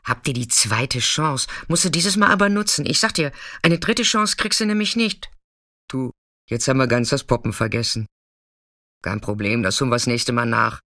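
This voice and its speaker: A streetwise woman of the night in an intimate radio drama. Her voice is bright and forward, recorded very dry and close. She talks colloquially and frankly, caring and hard to shock. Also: extremely low pitch, normal speed, no room sound, heavily acted. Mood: chatty, familiar. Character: warm, chatty, streetwise female sex worker, caring and unshockable.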